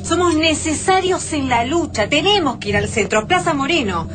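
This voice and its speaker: A woman's voice: high voice, lively intonation, moderate pace. An Argentine actress in a radio drama playing a determined woman surviving and urging the resistance onward. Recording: clearly noisy radio drama ambience.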